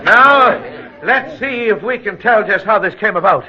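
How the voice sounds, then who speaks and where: An earnest small-town leader with a high male voice, reasoning things out in an orderly, deliberate way. It is old radio drama, dull and muffled in sound. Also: expressive, slightly rough, a faint crowd, full character voice. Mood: earnest, resolute. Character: earnest, steady small-town leader chairing an emergency meeting. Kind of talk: 1940s radio drama acting.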